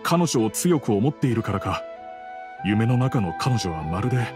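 A man's voice: mid-range voice, sing-song, normal speed, over music, lightly acted. A man playing a grieving widower in an anime game, speaking politely, earnestly and with hesitation. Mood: wistful. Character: grieving adult widower, polite, troubled villager. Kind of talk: Japanese anime game voice acting.

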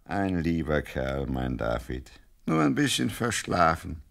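A gruff, loving father in a Bible radio play. The voice is bright and gravelly and swings widely in pitch, theatrical in its scolding and its fondness. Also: sing-song, unhurried, exaggerated voice. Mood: fond, proud. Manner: scolding yet affectionate, theatrical. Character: gruff, fond father of a shepherd boy. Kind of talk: audio play acting.

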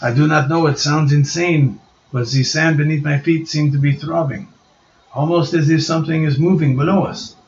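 Man voicing a jittery storybook stranger, higher pitched and urgent; muffled echoey sound. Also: steady tone, normal speed, slight background noise, lightly acted. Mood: uneasy. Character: Pierre, nervous man in desert. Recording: dull roomy home recording.

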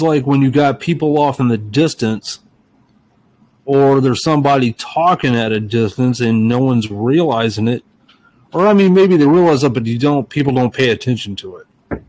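Casting: man, mid-pitched voice, skeptical co-host, winding casual delivery. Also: a little mellow, poor audio. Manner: skeptical, questioning, talks in long winding stretches. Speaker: podcast co-host. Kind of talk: casual chat.

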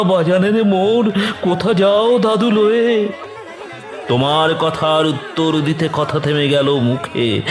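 A man giving a dramatic, mournful, drawn-out poem recitation as a grieving old village grandfather. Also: medium pitch. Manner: mournful, drawn-out, emotive delivery. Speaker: poetry reciter. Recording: echoey voice over background music.

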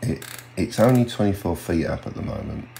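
An older man with an extremely deep voice full of creaky fry. He talks casually and haltingly, in an unhurried hobby-vlog style. The room sound is noticeable around him. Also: lively intonation, dark tone. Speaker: radio hobbyist vlogger. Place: small home radio room, indoors. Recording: roomy sound with faint hum.

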